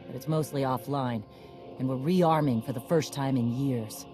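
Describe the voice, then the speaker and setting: Tough warrior woman boss in a game, gruff n blunt, bossy, music going behind. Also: extremely low pitch, normal speed. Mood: blunt, commanding. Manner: blunt, terse, authoritative. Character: gruff, commanding female warrior clan leader. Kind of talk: video game voice acting.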